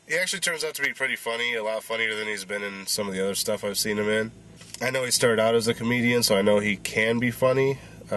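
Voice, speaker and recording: Casual male reviewer in his thirties, stuffy and congested, low voice, loose and rambling. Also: fairly bright tone. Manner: rambling, filler-heavy. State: congested, recovering voice. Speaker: movie reviewer.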